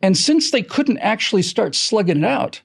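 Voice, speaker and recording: High-pitched, creaky-voiced American man. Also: very animated, moderate pace. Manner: emphatic, dramatic storytelling with self-corrections. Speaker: podcast creator being interviewed. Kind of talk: animated explanatory interview talk.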